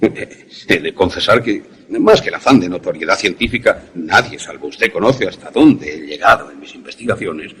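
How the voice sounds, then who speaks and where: A man playing an obsessed, grieving widowed professor in a suspense radio drama, with a husky voice and formal, measured phrasing. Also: low-pitched, animated, a bit bright, slight background noise. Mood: solemn confession.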